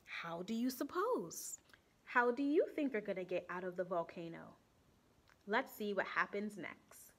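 A young woman reading a children's picture book aloud expressively, deliberately, pausing to ask and explain. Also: medium pitch, expressive. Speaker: storytime reader for children. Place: small indoor room with bulletin board.

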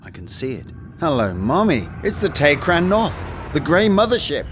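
A man playing an excitable, wisecracking spaceship hero in a sci-fi audio drama. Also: fairly high pitch, normal speed. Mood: tense wonder. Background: music.

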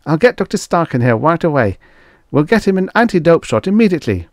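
A man voicing a nervous, eager male assistant in a spoken story, pitched well above his own voice. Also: sing-song, fast talker, a little mellow, dry recording, studio quality. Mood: anxious.

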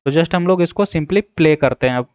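Male tutorial narrator, smooth voice on the high side, instructional with frequent check-ins, dull close recording. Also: normal speed, darkish tone, no echo. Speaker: tutorial host. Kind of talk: step-by-step app tutorial narration. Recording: slightly dull, dry voice-over.

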